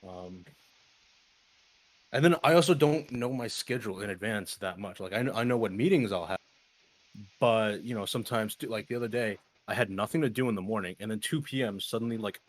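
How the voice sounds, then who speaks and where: A man in his twenties with a fairly low voice talks casually among friends. His delivery is loose and slangy, dotted with swearing. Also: brisk, no echo, rough recording. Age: 20s.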